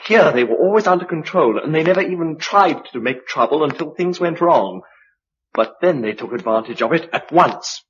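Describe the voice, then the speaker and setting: A man's voice: on the high side, lively intonation, normal speed, fairly bright tone, breathy edge. An actor with an English accent playing an inquiring blind male survivor in a vintage radio drama. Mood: insistent. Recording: dull, noisy old radio recording.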